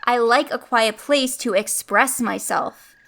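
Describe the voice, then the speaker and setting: A woman's voice: on the high side, takes it slowly, gravelly, noisy background. A woman playing a cheeky, wisecracking sidekick, flippant and wry, in a comic sci-fi audio drama. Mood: wry, teasing.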